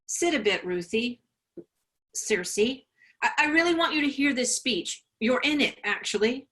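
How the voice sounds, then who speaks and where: Woman doing a self-absorbed mom in a play reading, sharp bright voice, kinda high, placating. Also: animated, measured pace. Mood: eager, coaxing. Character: self-absorbed, placating mother, a career-minded lawyer. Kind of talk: virtual staged reading of a play.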